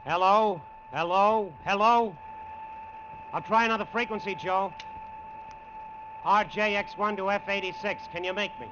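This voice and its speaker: An actor playing a lone test pilot, awed and straining, in a halting, dramatic old-time radio monologue. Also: male voice, sits high, background noise. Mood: urgent calling. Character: male test pilot alone in a rocket plane, awed, straining. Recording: dull, lo-fi vintage radio recording.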